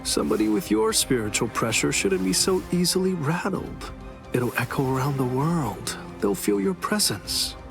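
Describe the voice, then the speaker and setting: An actor in an English anime game dub playing a cocky, teasing masked Soul Reaper with a sardonic, theatrical delivery. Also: male voice, a little high, big pitch swings, normal speed, vocal fry. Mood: smug, taunting. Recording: music behind the voice.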